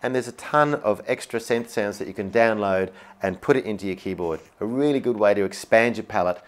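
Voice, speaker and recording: A man's voice: on the low side, a little fast. A man presenting a product demonstration in an upbeat, enthusiastic way. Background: music, demonstrated keyboard sounds.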